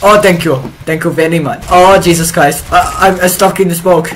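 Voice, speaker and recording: A young man giving joking commentary over his own gameplay in a put-on, broken-English foreign accent, breaking into loud excited yelps. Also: a little high, moderate pace, a little hiss or hum. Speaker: streamer.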